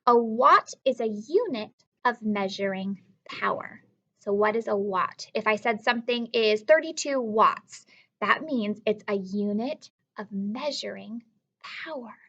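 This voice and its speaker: A woman's voice: medium pitch, animated, a little slow, sharp tone, husky, muffled recording. A woman teacher reading aloud a lesson for children, explaining simply and encouragingly with questions to her young listeners. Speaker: elementary school teacher.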